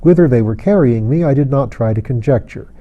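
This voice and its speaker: A man reading a fantasy novel aloud as an audiobook narrator, in a measured storytelling manner. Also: mid-range voice, lively intonation, lo-fi sound.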